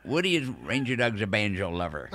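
A man's voice: on the low side, sing-song, a little fast, very noisy. A man voicing a folksy, boastful old camp cook and comic sidekick, rambling along in an American Southern accent. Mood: rambling, storytelling. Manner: rambling, folksy, with odd catchphrase grunts. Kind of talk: improvised comic interview in character.

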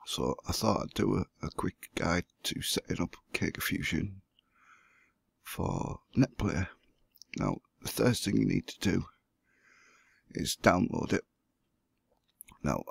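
A hoarse man who has the flu, walking through a computer setup step by step as the host of a gaming channel. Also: low-pitched, no room sound, studio quality. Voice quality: gravelly, husky. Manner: plain step-by-step explaining. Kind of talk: screen-recorded tutorial.

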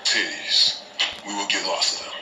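A man's voice: deep voice, moderate pace, pushed and bright, slight background noise, poor audio. A man doing crude comic banter.

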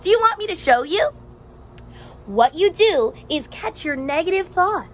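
A young woman with a high, very lively voice teaches as she reads a picture book to children. She is expressive and encouraging, speaking slowly and stressing key words. There is faint outdoor noise behind her. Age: young adult. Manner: expressive, asks questions and pauses for answers; encouraging, with exaggerated gasps and emphasis. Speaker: teacher reading aloud to children. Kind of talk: animated children's picture book read-aloud. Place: outdoors on a house porch.

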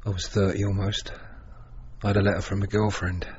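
A man acting a wistful thirtyish soldier in a radio play. He has a deep, monotone voice and a confiding manner. The recording is muffled. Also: a little fast, slight background noise, close to an everyday voice. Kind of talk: radio drama monologue.

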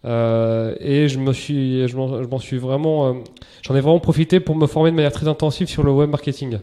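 Mellow-voiced man chatting casually. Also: medium pitch, brisk. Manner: relaxed, chatty, lots of restarts and slang. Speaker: interview guest, entrepreneur. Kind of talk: casual podcast interview.

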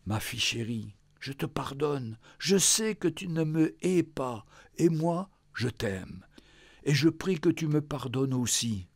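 A man voicing a dying old father who speaks softly, hushed and slowly. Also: on the high side, animated, dry recording, full character voice. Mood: tender. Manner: soft, hushed and slow.

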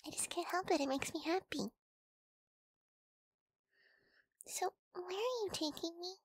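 High-pitched timid wolf girl, acted by a woman. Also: expressive, brisk, dry recording. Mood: relaxed, cheerful. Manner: soft, breathy, often whispering, hesitant. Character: timid injured young wolf girl, wary but sweet. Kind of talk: ASMR girlfriend audio roleplay. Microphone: close microphone.

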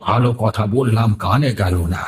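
A man voicing a menacing male ghost in a horror cartoon, drawing out his threats slowly. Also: on the low side, close to an everyday voice. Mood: cold menace. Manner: slow, drawn-out threats. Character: menacing male ghost haunting an abandoned boat.

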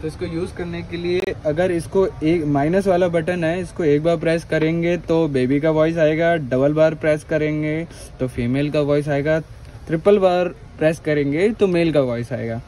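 A man, presenting a gadget demo and sales pitch in a shop. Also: steady tone, moderate pace, mellow, slight background noise, rough recording. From India. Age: 20s. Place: small mobile accessories shop.

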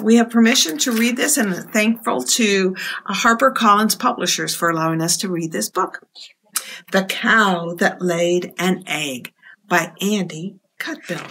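A woman in her fifties reading aloud at storytime for young children, expressive and deliberate, in a small room. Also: medium pitch, slight background noise.

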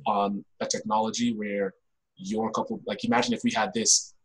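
A young man from Africa hosts a relaxed, casual interview over a video call. His voice is fairly low and rather husky. He speaks haltingly, restarting phrases and filling them with "like". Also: rough recording. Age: young adult. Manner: halting, frequent "like". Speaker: podcast host. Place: small indoor room at home, video call.

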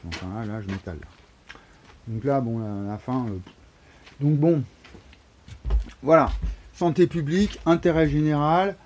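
A man in his forties talks into a phone held low at arm's length inside a wooden shed. His mellow, dark voice rambles on, stumbling over repeated words and breaking into emphatic bursts. A faint hiss runs under the recording. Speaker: vlogger. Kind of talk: rambling monologue to camera. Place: wooden shed or barn, indoors. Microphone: phone camera held low at arm's length.

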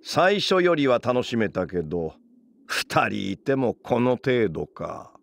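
アニメゲームで、退屈そうで尊大な男の神を演じる男性。気だるく相手を見下すように話す。. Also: male voice, mid-range voice, big pitch swings, takes it slowly, creaky, slight background noise, exaggerated voice. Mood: unimpressed. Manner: languid, condescending. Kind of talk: anime game voice acting.

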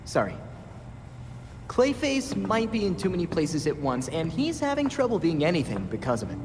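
A man's voice: a little high, huge pitch swings, normal speed, background noise. A man voicing a thoughtful, slightly hesitant young detective hero in a game cutscene. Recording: reverberant cutscene with music.

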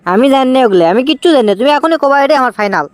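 A man's voice: very high-pitched, lively intonation, very fast, dry recording. A man voicing a whiny, sulky young boyfriend in a comic cartoon, with a Bogura accent from northern Bangladesh. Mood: sulky, demanding. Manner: pleading, stubborn, breaks into teasing song.

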